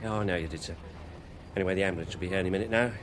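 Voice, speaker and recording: Low, very quick police sergeant played by a man. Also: slight background noise, lightly acted. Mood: hurried, respectful. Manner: deferential, matter-of-fact. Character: dutiful, respectful male police sergeant. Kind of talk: radio drama acting. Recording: faint outdoor street and vehicle ambience.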